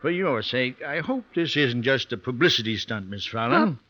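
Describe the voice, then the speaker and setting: An American actor playing a skeptical, folksy small-town sheriff in an old-time radio drama. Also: male voice, mid-range voice, expressive, normal speed, bright, forceful tone. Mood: doubting, firm. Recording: dull lo-fi vintage radio recording.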